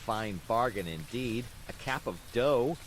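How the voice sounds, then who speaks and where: Man doing a teasing little storybook boy, lively. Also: medium pitch, measured pace, a little hiss or hum, close to an everyday voice. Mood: mocking. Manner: teasing, dramatic. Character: mocking, sensible little village boy. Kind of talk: bedtime storybook character reading. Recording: steady rain sounds behind the voice.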